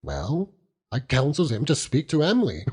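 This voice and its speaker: A man's voice: medium pitch, huge pitch swings, moderate pace, a little mellow, husky. A hearty, chuckling old seaman. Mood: fond, earnest. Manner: chuckling, rambling, dialect-heavy storytelling with exclamations. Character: hearty old working-class seaman uncle, doting and overjoyed.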